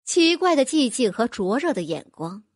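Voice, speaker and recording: High, lively woman narrating a story. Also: moderate pace, slightly rough, no room sound, studio quality. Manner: lively, expressive reading. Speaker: audiobook narrator. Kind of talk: scripted narration.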